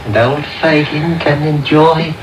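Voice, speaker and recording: Male actor as greedy old villain, fawning, muttering, repetitive, unhurried delivery, radio play, hissy off-air recording. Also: on the high side, fairly even intonation, lightly acted. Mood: gloating, muttering. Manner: fawning, muttering, repeats his phrases. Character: wheedling, sly old male crook, suspicious and greedy. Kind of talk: 1990s radio drama acting. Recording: noisy medium-wave radio broadcast taped off air.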